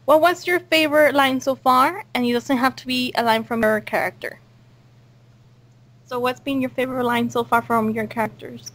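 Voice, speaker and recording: A woman's voice: high-pitched, steady tone, moderate pace, a bit bright. A woman hosting an informal cast interview in a casual, chatty style. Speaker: host and audio drama creator. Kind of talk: casual group interview chat.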